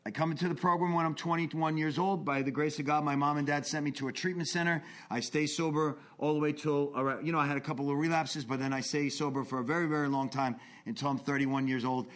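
A man in his forties speaks with an earnest, confessional pull. His voice sits a touch higher than most men's, rising into intense bursts and dropping to hushed asides as he tells his story. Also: steady tone, fairly quick, hardly any pauses. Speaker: recovery speaker. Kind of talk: personal testimony talk.

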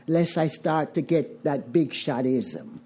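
Low, mellow Jamaican woman giving a recovery talk. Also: slow, husky. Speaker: recovery meeting speaker. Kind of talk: twelve-step recovery talk to a group.

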